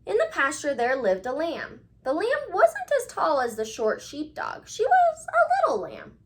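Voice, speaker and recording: A woman with a fairly high voice and very lively intonation hosts a read-aloud for kids. She speaks straight to the children in a teacherly way, leaning on key words for emphasis. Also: measured pace. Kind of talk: expressive storybook reading and talk to children.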